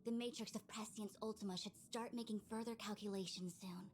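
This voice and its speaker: A woman's voice: mid-range voice, steady tone, brisk, slight background noise, close to an everyday voice. An actress playing a composed, authoritative young woman seer in a video game, speaking formally and solemnly. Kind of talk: scripted game voice acting.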